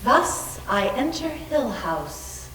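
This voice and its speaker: Woman acting unhinged elated young woman, theatrical stage reading, very lively pitch, echoey distant recording, faint noise. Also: takes it slowly, exaggerated voice. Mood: rapturous. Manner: dreamy, swooping, theatrical delivery. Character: unhinged, giddily elated young woman roaming a haunted house. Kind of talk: readers theater dramatic reading.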